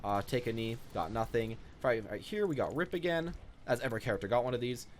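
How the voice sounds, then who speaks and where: A man's voice: medium pitch, lively intonation, fast talker. A young man giving casual, off-the-cuff commentary as he shows off items in a video game. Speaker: gaming video creator. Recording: noticeable background noise.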